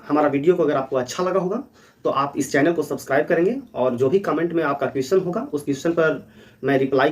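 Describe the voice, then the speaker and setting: A man with a high-pitched voice speaks as the host of an explainer. His manner is earnest and instructive, circling back to key points. He is recorded at some distance from the microphone. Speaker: host of a legal awareness channel. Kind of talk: explanatory talk to viewers.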